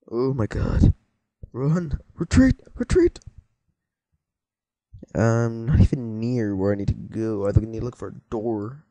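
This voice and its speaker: A man's voice: medium pitch, mellow, no echo. A teenage boy giving casual, rambling commentary while playing a game, easily startled and joking with viewers. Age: teens. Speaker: gameplay commentator. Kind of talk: casual let's-play commentary.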